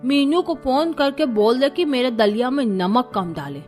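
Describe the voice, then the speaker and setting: A woman's voice: high-pitched, expressive, a little mellow, soft background music. A woman playing a demanding, complaining elderly grandmother-in-law in a cartoon family drama, with a grumbling manner. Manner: slow, grumbling.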